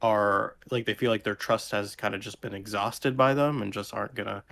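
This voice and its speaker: A man with a lowish, very creaky voice chats casually as a podcast co-host. He is hesitant and halting, searching for his words as he goes. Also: fairly even intonation, fairly bright tone.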